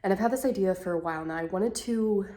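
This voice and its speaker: A woman's voice: mid-range voice, fast talker, dark tone. A woman in her twenties with a blocked nose, talking into a phone held at arm's length at home, hesitant and full of fillers and restarts. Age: 20s. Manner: hesitant, lots of fillers and restarts, self-deprecating. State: congested, slightly out of breath. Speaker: vlogger. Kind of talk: rambling casual vlog. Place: at home indoors.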